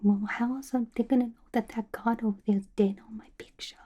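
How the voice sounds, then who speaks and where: A woman voicing a playful, gossipy villain locked in an asylum, in a breathy, chatty, confiding ASMR roleplay. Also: a little high, fairly even intonation, fast, dark tone, close to an everyday voice. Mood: playfully morbid. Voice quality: breathy, whispery. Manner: chatty, confiding, casual slangy talk.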